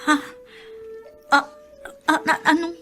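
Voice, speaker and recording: Anxious, high-voiced Filipino wife. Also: female voice, little pitch movement, slow, soft background music, full character voice. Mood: shocked, anxious. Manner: stammering, hushed, breathless concern. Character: anxious, concerned adult wife. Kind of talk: Filipino radio drama acting.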